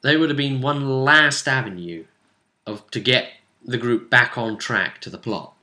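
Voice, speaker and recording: A man with a forceful, bright voice talks through a tabletop role-playing session as its game master. His delivery is casual and a little hesitant, as he works things out aloud. His pitch sits in an ordinary male range. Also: measured pace.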